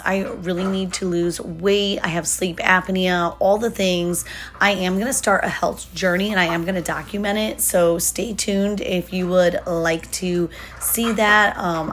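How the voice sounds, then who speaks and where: Hoarse, chatty woman in her thirties. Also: on the low side. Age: 30s. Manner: chatty and upbeat, with fillers. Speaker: lifestyle vlogger. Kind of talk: casual voiceover narration.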